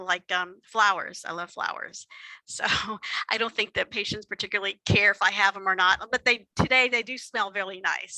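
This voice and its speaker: A woman with a Texan accent presenting a workshop in an easy, chatty way, with lots of fillers and self-deprecating asides. Her voice is very bright and forward, recorded close and dry with no room sound. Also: medium pitch, dry recording, poor audio. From Texas. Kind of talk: conversational lecture with slides.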